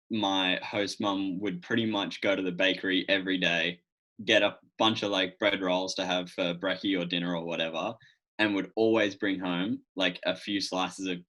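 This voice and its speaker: A man's voice: deep voice, pushed and bright, low-quality recording. A man with an Australian accent chatting casually as a guest, easygoing and colloquial. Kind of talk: casual chat.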